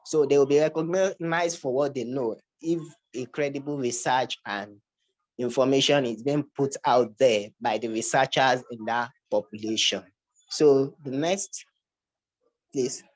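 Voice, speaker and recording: Young Nigerian-accented research presenter, mid-pitched, hesitant, given to restarts and repeated words. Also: unhurried, poor audio. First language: Yoruba. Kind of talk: academic slide presentation.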